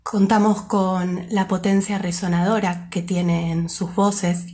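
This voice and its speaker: A woman's voice: on the low side, darkish tone. Woman reading a prepared presentation. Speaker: association member presenting a project. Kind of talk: scripted presentation.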